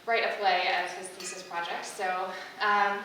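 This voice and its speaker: A woman casually introduces an event to an audience in a medium-pitched voice. She is picked up from a distance in a very echoey room with clear background noise. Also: fairly even intonation, moderate pace. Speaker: academic advisor introducing a student play. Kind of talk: informal spoken introduction to an audience.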